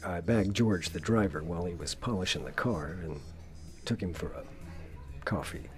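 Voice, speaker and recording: A man's voice: low voice, normal speed, faint music behind, close to an everyday voice. An actor playing a hardboiled private investigator in a noir radio play, terse and dry as he narrates and asks questions. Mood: wry. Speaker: lead actor and first-person narrator.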